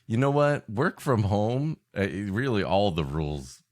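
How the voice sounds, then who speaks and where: Guy with a lowish voice goofing around on a comedy podcast, swings all over, cracks himself up. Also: very animated, measured pace. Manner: joking, riffing, often laughing at own jokes. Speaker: podcast co-host. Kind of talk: bantering comedy chat.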